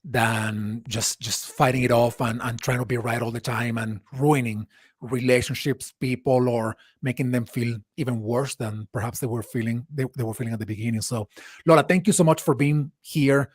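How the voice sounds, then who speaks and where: A man's voice: mid-range voice, fairly even intonation, moderate pace, husky, no echo, clean studio sound. A man hosting a live interview chat, asking long, earnest, reflective questions with frequent restarts. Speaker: host.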